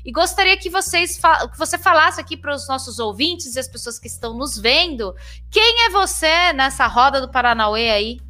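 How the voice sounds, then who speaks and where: A woman's voice: high-pitched, animated, normal speed, sharp tone. A woman with a Brazilian accent hosting a casual interview, talking in an effusive, informal way. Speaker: interview show host. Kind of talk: casual friendly interview chat.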